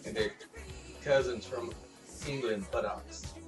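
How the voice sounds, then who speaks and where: A man's voice: mid-range voice, steady tone, slow pace, far from the mic. Joking man hosting in an echoey room. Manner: joking, rambling. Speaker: host and writer of live radio plays. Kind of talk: casual live-stream chat. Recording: echoey room, off mic, music behind.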